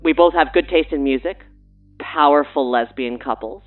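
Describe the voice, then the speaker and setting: A woman's voice: low voice, moderate pace, phone-quality sound, soft background music. A woman with a breezy, pushy, playful manner, giving casual promotional banter as a guest on a mock radio show. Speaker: guest showrunner and writer.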